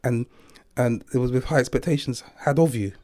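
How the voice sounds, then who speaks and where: A man's voice: medium pitch, brisk, darkish tone, no room sound, studio quality. An older man giving a thoughtful, philosophical interview, chuckling now and then as he reflects.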